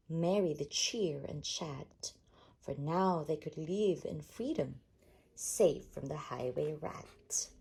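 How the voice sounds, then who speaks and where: Woman reading a rhyming kids story, unhurried, husky w some creak, really expressive. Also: lowish voice. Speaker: storytime reader.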